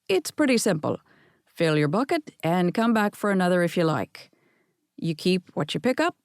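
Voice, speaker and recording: A woman voicing a warm, teasing older Scottish grandfather with a soft brogue in an audiobook. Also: on the low side, big pitch swings, moderate pace, dry recording, studio quality. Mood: instructive. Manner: twinkly, welcoming, gently flirtatious.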